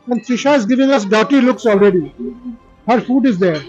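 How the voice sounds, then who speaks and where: A man with a high voice jokes casually over lunch. He sounds a little off-mic, and the audio is dull and lo-fi. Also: a little fast, a little mellow, soft background music. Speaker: dining guest. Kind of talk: casual chat at a meal. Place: busy indoor restaurant.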